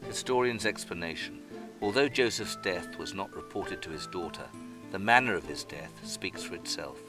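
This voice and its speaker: A man playing a solemn, wise old storytelling spirit in a fantasy game fan dub, speaking formally and gravely. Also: sits low, normal speed, lightly acted. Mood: solemn narrating. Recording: loud music under the voice. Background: music.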